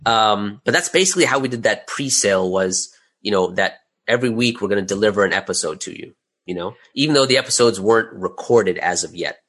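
A man's voice: on the low side, expressive, brisk, fairly bright tone. A man speaking as an interview guest, telling anecdotes in a casual, conversational and candid way about his own work. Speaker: interview guest, audio drama creator.

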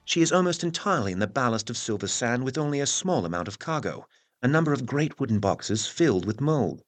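A man playing a grave newspaper reporter in an audio drama, narrating in a formal, measured, solemn manner. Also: medium pitch, very animated, close to an everyday voice. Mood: formal, intrigued.

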